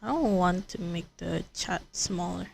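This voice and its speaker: Slow-talking woman streamer, slightly creaky. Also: fairly low pitch, a little mellow, a little hiss or hum. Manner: rambling, thinking aloud, repeats phrases. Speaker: livestreamer playing a video game. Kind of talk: casual livestream chat while gaming.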